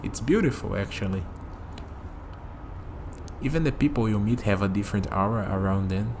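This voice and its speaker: A man's voice: fairly low pitch, normal speed, background noise, lightly acted. A man acting a friendly, easygoing human on a night walk in an ASMR roleplay, musing conversationally to the listener. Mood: reflective, warm.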